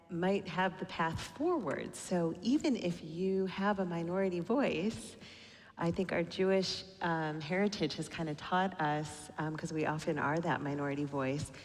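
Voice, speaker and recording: A woman leading a synagogue service, speaking earnestly and singing and chanting slow, heartfelt melody lines. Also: fairly low pitch, long reverb tail. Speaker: cantor or song leader at a synagogue service. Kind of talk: introducing a song, then singing and chanting liturgy. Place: synagogue sanctuary, live broadcast. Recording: live service broadcast with music. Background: accompanying music.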